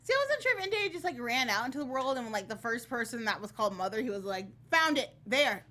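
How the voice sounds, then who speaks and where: A woman's voice: on the high side, big pitch swings, fast, bright, forceful tone. A woman co-hosting a casual panel chat in a playful, emphatic, chatty way, peppered with "like". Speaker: talk show co-host.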